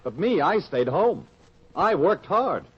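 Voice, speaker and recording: Indignant dutiful son, old-time radio melodrama, fairly high rough voice, muffled vintage sound. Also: male voice, very animated, takes it slowly, heavily acted. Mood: indignant, hurt. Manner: indignant, accusing. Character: resentful, dutiful grown son, wounded and indignant.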